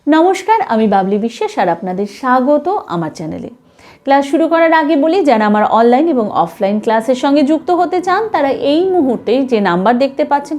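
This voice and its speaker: A woman's voice: high voice, darkish tone. A woman giving an instructional singing lesson to viewers, explaining points in a teacher's manner. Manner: explanatory, with short hesitations and sung demonstrations. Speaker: vocal music teacher.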